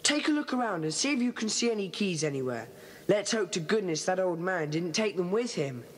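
An earnest British schoolboy who leads his club. The voice is husky and swings widely in pitch. He is decisive and emphatic, in the manner of a children's audio drama. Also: sing-song, brisk. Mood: urgent relief.

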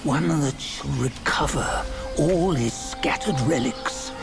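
A weary old storyteller in a fantasy game. He speaks extremely slowly and solemnly, with a creaky, gravelly voice and long dramatic pauses. Music plays behind his reverent narration. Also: a little high. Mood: hushed, hopeful. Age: older.

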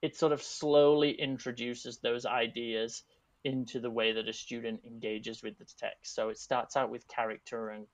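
A man in his thirties from England with a softened Yorkshire accent, a teaching artist and artistic director talking casually and thoughtfully on a video call panel, with frequent restarts and asides. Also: mid-range voice, level tone, moderate pace. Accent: Yorkshire English, softened for Americans. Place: home room. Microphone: video call, earphones. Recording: dull lo-fi video call audio.

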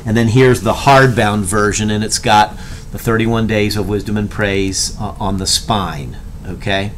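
A man's voice: fairly low pitch, unhurried, slight background noise. Middle-aged man teaching, lots of "okay?". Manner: conversational, animated hand gestures, keeps asking "okay?". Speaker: pastor teaching a class. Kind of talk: casual teaching talk. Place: home study with bookshelves and whiteboard.

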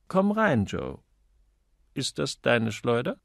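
A man voicing a gallant, courteous gentleman in an audiobook, casually inquisitive in manner. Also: medium pitch, sing-song, slow pace, gravelly, no echo, studio quality, full character voice. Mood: confiding, brisk.

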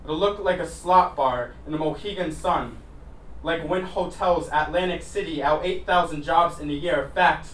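High-voiced man performing slam poetry, roomy. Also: fairly bright tone. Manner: dramatic, deliberate phrasing with emphatic pauses. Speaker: spoken word poet. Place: live poetry event in a room. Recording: roomy, distant mic, faint noise.

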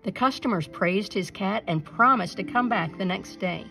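A woman reading a children's picture book aloud as a storyteller, in an expressive narrating style. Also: medium pitch, moderate pace, gravelly, soft background music.

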